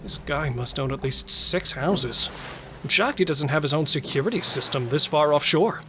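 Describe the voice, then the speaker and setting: A man playing a wary yet bloodthirsty serial killer in an audio drama, speaking with deliberate intensity. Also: a little high, sharp tone, slight background noise. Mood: eager, bloodthirsty. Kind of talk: audio thriller acting.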